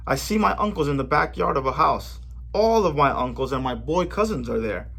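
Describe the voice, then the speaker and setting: Man, husky voice, slightly high pitch, gentle storybook reading. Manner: gentle, measured read-aloud with small slips. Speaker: storybook reader for a library. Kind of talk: reading a picture book aloud.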